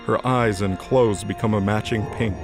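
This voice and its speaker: Male narrator, fairly low voice, measured scripted fantasy storytelling over clear background music. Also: steady tone.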